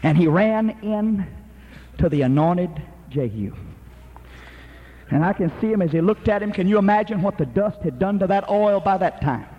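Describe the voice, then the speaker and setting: High-voiced preacher man, shouting sermon. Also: lively intonation, moderate pace, lo-fi sound. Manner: emphatic, rhythmic preaching with shouted peaks.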